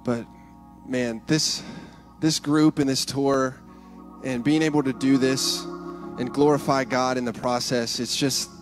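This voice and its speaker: A man's voice: medium pitch, fairly even intonation, measured pace, faint music behind. A young man on a church stage talks into a handheld mic, sharing a testimony in an earnest, casual way. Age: young adult. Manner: earnest, casual talk with restarts. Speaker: keyboard player giving a testimony.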